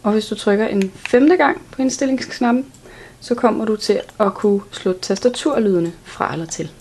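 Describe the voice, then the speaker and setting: A woman's voice: medium pitch, a little mellow, slight background noise. A woman giving an instructional product walkthrough in a plain, step-by-step way. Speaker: product demonstrator.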